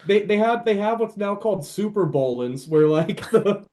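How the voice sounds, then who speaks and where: A man chatting casually as an enthusiastic fan on a podcast, animated and often repeating his words as he goes. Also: high voice, moderate pace, slight background noise. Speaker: podcast panelist.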